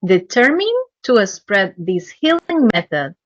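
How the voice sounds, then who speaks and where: Woman, podcast host, measured and hesitant, slow delivery, muffled lo-fi audio. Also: mid-range voice, big pitch swings, slight background noise. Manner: measured, earnest, hesitant with ums. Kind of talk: interview, reading guest bio.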